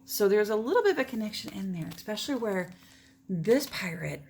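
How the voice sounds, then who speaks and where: A woman's voice: medium pitch, lively intonation, a little fast, vocal fry, a little mellow, a little hiss or hum. A woman teacher reading a children's storybook aloud in a dramatic manner, often dropping toward a whisper.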